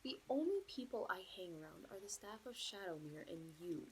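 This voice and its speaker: A woman's voice: medium pitch, animated, brisk, darkish tone, a little hiss or hum, natural voice, rough recording. A woman playing an anxious, lovelorn young princess bride in a romantic audio roleplay, speaking formally and hesitantly. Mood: teasing, soft. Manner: formal regal phrasing, hesitant, breathy pleading.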